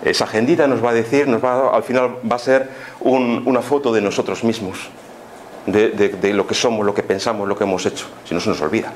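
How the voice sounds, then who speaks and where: A man's voice: on the low side, fairly even intonation, a little fast, slight background noise. A man with an accent from Spain gives an informal explanatory lecture, speaking didactically with rhetorical questions and little check-in tags. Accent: Spanish, from Spain. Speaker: lecturer on spiritism.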